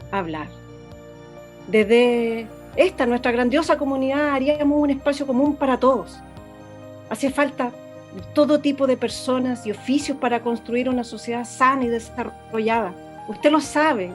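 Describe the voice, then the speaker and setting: A woman with a Chilean accent playing a radio station director and mother, speaking solemnly and pleadingly to listeners, sometimes halting to correct herself. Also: a little high, natural voice. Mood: earnest. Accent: Chilean Spanish. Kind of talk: radio drama acting.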